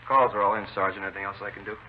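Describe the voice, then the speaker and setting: A man playing a brisk, dutiful patrol officer in a 1950s radio drama, on a tinny old recording. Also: on the low side, steady tone, slight background noise, natural voice.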